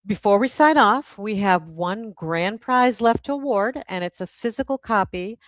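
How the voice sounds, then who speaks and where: A woman's voice: mid-range voice, animated, normal speed, no echo. A woman hosting a casual livestream event as its publisher, speaking informally to a guest and viewers. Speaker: host, publisher.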